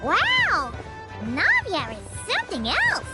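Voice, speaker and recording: Squeaky, slow-spoken excitable little sidekick. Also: female voice, loud music behind the voice, exaggerated voice. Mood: excited, eager. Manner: exclaiming, eager, squeaky. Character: excitable small childlike sidekick.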